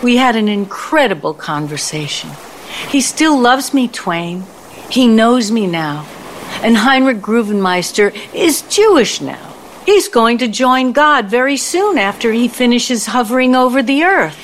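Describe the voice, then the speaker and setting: A woman's voice: on the high side, expressive, a little slow, slight background noise. A woman playing a bitter, vengeful ex-girlfriend in an audio play, with a theatrical, sardonic manner. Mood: dazed, wistful.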